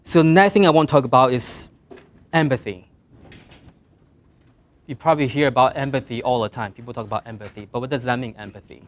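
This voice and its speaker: A middle-aged man with a Mandarin Chinese accent gives practical career advice in English, his second language. His voice sits at an ordinary middle pitch. He speaks earnestly, lifting his voice on key points and letting the ends of phrases trail off quietly. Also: fairly quick, long pauses. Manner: earnest, plain advice with emphatic rises and trailing quiet endings. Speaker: guest speaker. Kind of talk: career talk to design students.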